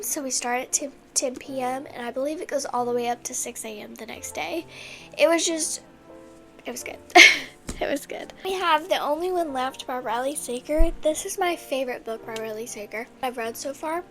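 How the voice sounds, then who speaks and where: Chatty high-voiced woman, congested. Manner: chatty and rambling, with many restarts. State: congested from a cold. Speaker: book vlogger. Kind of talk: casual chat.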